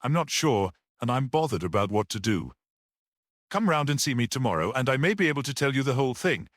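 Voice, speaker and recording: A man voicing a gentle, shrewd priest detective in a story, speaking simply and earnestly with quiet certainty. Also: medium pitch, lively intonation, fairly quick, dry recording, clean studio sound, voice pushed up, close to an everyday voice. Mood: puzzled, simple.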